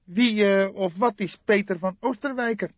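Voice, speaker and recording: A man voicing an excitable, impulsive young police detective in an audiobook, full of suspicious questions and exclamations. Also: high-pitched, moderate pace, no echo, lo-fi sound, voice pushed up, close to an everyday voice. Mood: startled. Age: young adult. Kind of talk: audiobook character dialogue.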